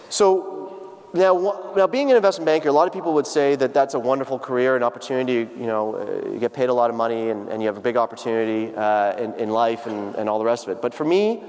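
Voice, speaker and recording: A man's voice: medium pitch, a little mellow. A man in his thirties giving a personal, earnest lecture to students, often restarting his sentences with fillers. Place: large lecture hall. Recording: long hall reverb.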